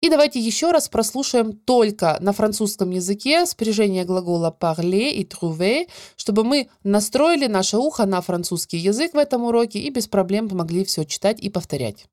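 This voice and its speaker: A woman teaches French grammar in a clear, measured way, drawing out the example words. Her voice sits at a middle pitch. The sound is dry and close, with no room echo. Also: expressive, darkish tone. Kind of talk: scripted grammar lesson narration.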